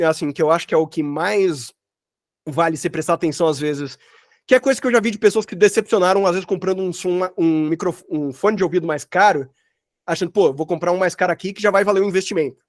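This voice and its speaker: A man's voice: high voice, dry recording, studio quality. A Brazilian man teaching in an informal, colloquial way, thinking aloud and often rephrasing himself as he explains. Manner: rambling, colloquial, thinks aloud with frequent self-corrections. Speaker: workshop instructor, sound designer. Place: online workshop from own workspace.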